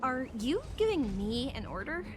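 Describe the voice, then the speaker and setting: A woman's voice: high voice, sing-song, normal speed, loud music behind the voice. A woman playing a stern, imperious judge in a video game, speaking in a formal, commanding way. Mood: cold, imperious.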